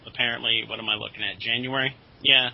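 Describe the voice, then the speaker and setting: A slightly muffled, lo-fi recording carries a man's mid-pitched voice. He talks as a podcast co-host in loose, informal conversation, with a fan's enthusiasm. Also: fairly even intonation, fast, gravelly. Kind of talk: casual chat about comics. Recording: dull, lo-fi podcast audio.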